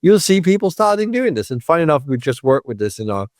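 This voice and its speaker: Animated man hosting. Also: fairly high pitch, quick pace, dry recording, clean studio sound. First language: Danish. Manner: animated, emphatic, checks in with tag questions. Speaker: podcast host. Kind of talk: conversational interview.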